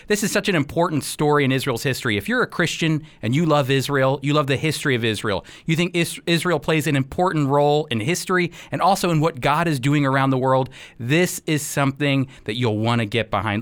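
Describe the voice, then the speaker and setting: A man's voice: a little high, a bit bright. A man hosting a radio interview, speaking conversationally to his guest and appealing to listeners.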